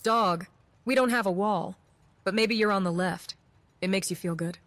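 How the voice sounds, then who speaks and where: A woman's voice: mid-range voice, normal speed, a bit bright, no room sound, natural voice. A woman voices a secretive innkeeper from a fantasy game. Her mid-range voice delivers disjointed lines with total earnestness. The sound is dry and close, with no room around it. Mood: businesslike. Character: secretive female innkeeper speaking nonsensical, garbled lines earnestly.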